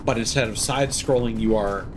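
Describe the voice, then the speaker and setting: A man's voice: lowish voice, brisk, over music. A man doing casual gaming commentary as a live streamer, rambling and opinionated as he thinks aloud. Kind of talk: casual gaming commentary, chatting while playing.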